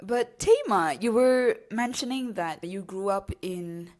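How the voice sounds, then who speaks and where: A woman's voice: mid-range voice, slow pace. Curious woman co-hosting a podcast. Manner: gently curious, asks questions. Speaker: podcast co-host. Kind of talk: casual podcast interview.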